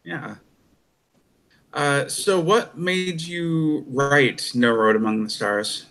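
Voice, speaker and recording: Bright-voiced man, slow delivery. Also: on the high side. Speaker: podcast co-host. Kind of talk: casual reactions and questions.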